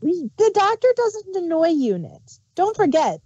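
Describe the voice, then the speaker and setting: Dark-voiced woman, casual chat. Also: very high voice, very animated, measured pace, no echo, highs cut off. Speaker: podcast co-host reviewing audio dramas.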